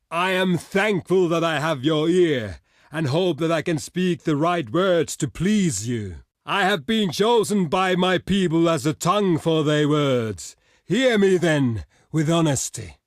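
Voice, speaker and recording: An actor voicing a proud, gruff barbarian warrior envoy in a video game, blunt and boastful, declaiming in archaic, formal phrases. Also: male voice, on the high side, dry recording, studio quality. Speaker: diplomat character in a strategy game. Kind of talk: theatrical video game voice lines.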